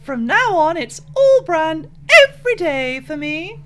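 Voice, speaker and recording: A woman's voice: very high voice, big pitch swings, measured pace, bright, forceful tone, a little hiss or hum, exaggerated voice. A woman acting a weary, complaining housewife in a spoof 1940s radio advert, plaintive and prim.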